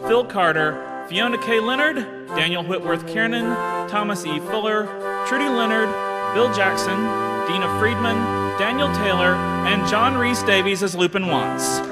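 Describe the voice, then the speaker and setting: A man's voice: high-pitched, moderate pace. A man reading scripted credits as the announcer of a live radio theater show. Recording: live show with loud music underneath.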